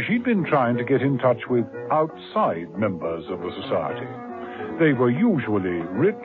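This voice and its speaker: A man narrating a spy radio drama with lively, dramatic storytelling emphasis. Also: mid-range voice. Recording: tinny old radio broadcast with music.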